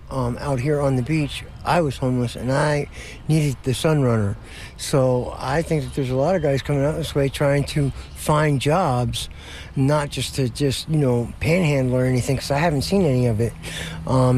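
A man's voice: mid-range voice, darkish tone. A man giving casual, plain-spoken comments in an interview. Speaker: interviewee, local worker. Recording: faint ambient noise.

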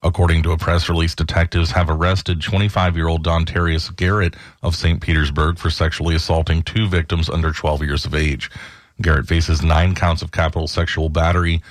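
A man reading the local news headlines as a radio anchor, in a steady, measured newsreader delivery. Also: deep voice, no room sound, clean studio sound. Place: radio studio.

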